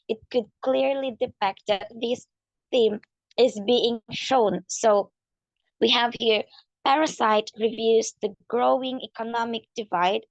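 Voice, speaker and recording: A young woman with a hoarse, husky voice giving a class presentation, explaining as she goes and leaning on "so" and "like". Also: a little high, no echo. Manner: explanatory, leans on "so" and "like". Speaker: student presenter. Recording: dull lo-fi mic.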